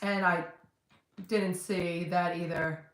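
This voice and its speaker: A woman's voice: on the low side, fairly even intonation, takes it slowly, reverberant, recorded from a distance, slight background noise. A woman from New Jersey with a New Jersey accent, talking casually and bluntly as a podcast co-host. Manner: chatty, blunt, rambling. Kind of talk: casual chat.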